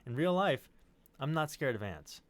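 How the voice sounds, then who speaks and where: A man's voice: mid-range voice, sing-song, moderate pace. A man chatting casually and telling personal stories in a rambling way, often correcting himself. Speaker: podcast cast member. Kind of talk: casual chat, personal storytelling.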